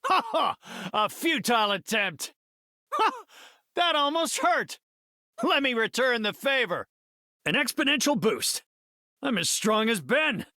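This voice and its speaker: An actor playing an earnest middle-aged scientist superhero in a video game, speaking in an articulate, cerebral, heroic way. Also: male voice, very high-pitched, big pitch swings, measured pace, long pauses, sharp tone, no echo, studio quality, exaggerated voice. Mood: triumphant, energetic. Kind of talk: video game hero voice lines.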